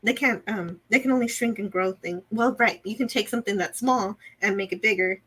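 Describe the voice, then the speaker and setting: A woman co-hosts a casual review discussion at a middling pitch. She explains her reasoning step by step and sounds analytical as she recaps and weighs up details. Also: a little fast, a bit bright, breathy edge. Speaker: review show co-host.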